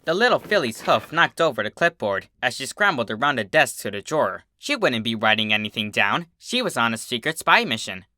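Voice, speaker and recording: A man reading fan fiction as a narrator, in an expressive scripted storybook style. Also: medium pitch, a little fast, few pauses, no room sound, clean studio sound.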